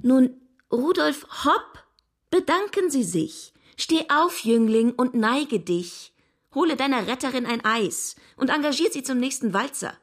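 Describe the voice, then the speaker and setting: A bossy young lady, high voice, teasing and theatrical, bit of music behind, radio play. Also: animated, a little slow. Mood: teasing, commanding. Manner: commanding, mock-grand. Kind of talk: German radio drama acting.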